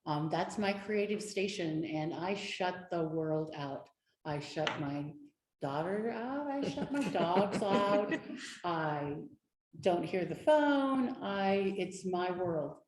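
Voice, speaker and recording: A woman speaking as an advocate on a community panel, telling her story in an earnest, steady way. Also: on the low side, slow pace. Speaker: domestic violence advocate. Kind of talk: panel discussion. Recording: echoey room with faint noise.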